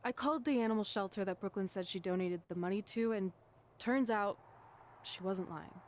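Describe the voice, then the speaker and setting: A woman's voice: mid-range voice, normal speed, natural voice. A woman voicing a wry young wrestler, a proud best friend, in a video game cutscene, sarcastic and grudging. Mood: grudgingly apologetic.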